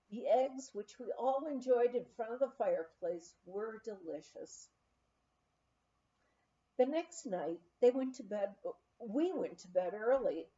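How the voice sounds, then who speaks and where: A woman's voice: on the high side, warm tone, lo-fi sound. A woman reading a memoir aloud as scripted narration, in a storytelling manner, like an amateur audiobook reader.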